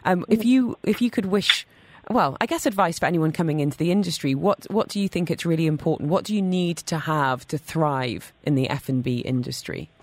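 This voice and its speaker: Low-voiced chatty woman radio host. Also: animated, a little fast, no echo. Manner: enthusiastic, chatty interviewer. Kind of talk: radio interview. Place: radio studio.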